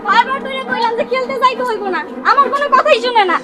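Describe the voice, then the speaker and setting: An actress plays a distraught village woman in a short-film melodrama. Her very high voice wails and stretches out its words in loud lament. Heavy music and other voices crowd in behind her. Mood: anxious searching. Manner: wailing, drawn-out, melodramatic. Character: distraught village woman lamenting loudly over a lost boy.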